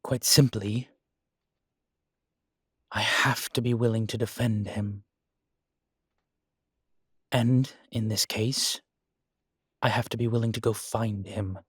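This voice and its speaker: A man playing a guilt-ridden, centuries-old vampire in an audio drama, speaking gravely and formally with weary, deliberate pauses. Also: lowish voice, fairly even intonation, fairly bright tone, no echo, clean studio sound, exaggerated voice. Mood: earnest, resolute. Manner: slow, grave, deliberate phrasing with long pauses. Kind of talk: dramatic audio roleplay acting.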